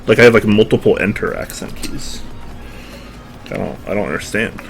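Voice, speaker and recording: A young man with a low-pitched voice, talking loosely and rambling at his desk while he works with his hands. Faint music plays behind him throughout. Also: moderate pace, gravelly. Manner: relaxed, rambling, self-deprecating, answers chat. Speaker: streamer building a keyboard. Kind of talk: casual live-stream chat. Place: home desk. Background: music playing.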